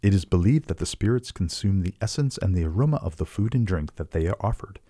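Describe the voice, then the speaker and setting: A man's voice: low-pitched, expressive, quick pace, warm tone, dry recording, clean studio sound. A man co-hosting, reading scripted explanatory narration.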